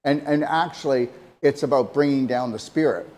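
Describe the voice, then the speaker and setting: A man's voice: mid-range voice, moderate pace, a little mellow. A man co-hosting a casual talk show, speaking emphatically and rambling, often repeating himself. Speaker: co-host.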